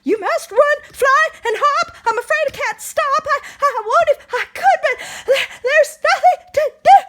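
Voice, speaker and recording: A woman's voice: extremely high pitch, measured pace, fairly bright tone, pitched above the performer's own voice. A woman voicing a big, fretful male elephant in a storybook read-aloud, stammering and breaking into giggles. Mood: giggly apologetic.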